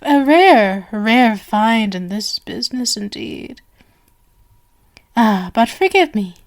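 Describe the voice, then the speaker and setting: Female stage magician, played by a woman, ASMR roleplay. Genial surface, sinister underneath; theatrical, unhurried, higher-pitched, whisper-prone. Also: darkish tone. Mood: adoring, then attentive, flattering. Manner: theatrical, flowery, coaxing, drifting into whispers.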